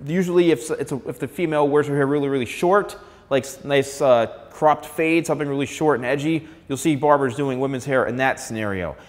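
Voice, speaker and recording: A man's voice: medium pitch, fairly quick. Chatty hairstylist man. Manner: conversational, gestures a lot, frequent "all right". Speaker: hairstylist and channel host. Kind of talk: casual explainer to camera. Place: large studio room with piano, indoors. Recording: long reverb in a bare room.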